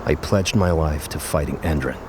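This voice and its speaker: A man's voice: very deep voice, normal speed, background noise. An actor playing an earnest former policeman turned committed resistance fighter in a video game cutscene. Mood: earnest, weary.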